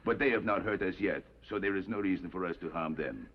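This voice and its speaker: Deep-voiced Mexican outlaw chief, played by an actor. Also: male voice, lightly acted. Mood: firm. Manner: calm, deliberate, courteous with quiet menace. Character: measured, authoritative aging Mexican outlaw gang chief. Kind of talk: 1950s television western acting. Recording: dull, noisy old TV western soundtrack.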